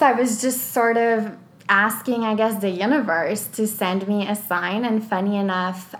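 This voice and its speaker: A young woman from Quebec with a French accent, a clothing designer chatting about her story as a podcast guest, full of "like" fillers. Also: medium pitch. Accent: Québécois French. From Quebec, Canada. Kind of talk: conversational interview answers.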